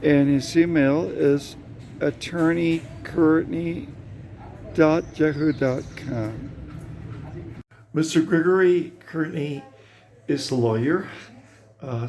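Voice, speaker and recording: A man hosting an interview, asking questions in a halting way with many fillers. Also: fairly high pitch, a little mellow, a little hiss or hum. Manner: very slow, halting, gravelly. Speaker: interviewer, host.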